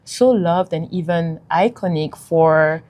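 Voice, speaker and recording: Brief: young French-accented woman, gushing interviewer, low-set voice. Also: slow. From France. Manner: effusive, excited, laughs often. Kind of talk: enthusiastic interview.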